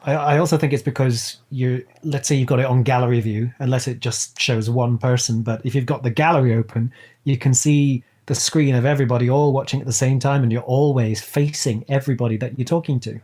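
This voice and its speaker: A man's voice: mid-range voice, steady tone, brisk, dark tone. A British man chatting casually as a podcast guest, playful and performative in his banter. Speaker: podcast guest, audio drama producer. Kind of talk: casual chat. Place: remote online call.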